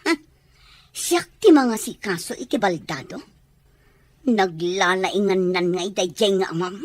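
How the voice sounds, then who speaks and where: A woman's voice: medium pitch, measured pace, dry recording, lightly acted. An actress playing a scornful, indignant mother who refuses her family duty in a radio drama.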